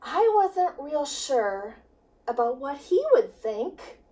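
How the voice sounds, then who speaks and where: A woman reads a children's story in a high voice, taking her time over every phrase. Her delivery is expressive and swings widely, with dramatic pauses between lines. She sounds set back from the microphone. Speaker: children's director reading a picture book. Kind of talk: children's story read-aloud. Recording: distant microphone.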